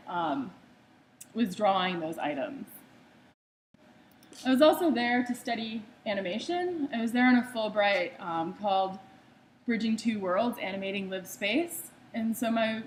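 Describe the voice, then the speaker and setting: A woman's voice: medium pitch, slight background noise, rough recording. A young woman from the United States giving a slide talk about her own art. She speaks conversationally, with frequent ums and uhs.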